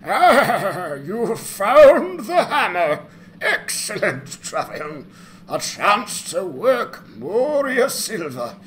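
Man voicing an excitable, animated dwarf smith. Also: sits high, drawn-out words, slight background noise, voice pushed up, full character voice. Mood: excited, jolly. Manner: exclamatory, gleeful. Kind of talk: fantasy game dramatization.

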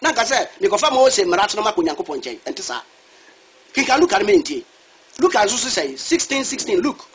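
A man's voice: sits high, huge pitch swings, a little fast, slight background noise. A man preaching a sermon who calls on a reader for verses, speaking. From Ghana. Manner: switches between Twi and English, asks a reader to read verses. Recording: dull lo-fi recording.

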